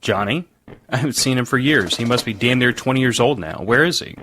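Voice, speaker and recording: An actor playing a stern, gruff army major who speaks in curt orders in an audio drama. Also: male voice, mid-range voice, fairly quick, fairly bright tone, slight background noise, close to an everyday voice. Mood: brisk. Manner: barking orders, curt military speech. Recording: music behind the voice.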